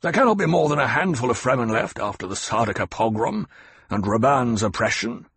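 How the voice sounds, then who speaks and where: A man's voice: medium pitch, animated, fairly quick, vocal fry, a bit bright, husky, no room sound, exaggerated voice. A man voicing a fat, petulant male nobleman who blusters and makes demands. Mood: blustering. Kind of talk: audiobook character voice.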